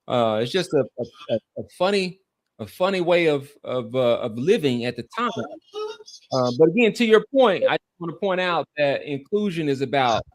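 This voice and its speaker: A man talking casually and reflectively as a podcast panelist, haltingly, repeating words and drifting into tangents. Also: mid-range voice.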